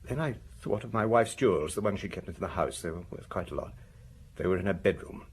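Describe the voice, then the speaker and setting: An actor playing a shaken, vain husband under police questioning in an audio drama, halting and confessional with defensive emphasis. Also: male voice, deep voice, slight background noise. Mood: agitated, defensive. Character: shaken, vain, well-dressed provincial husband under suspicion. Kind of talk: radio drama acting.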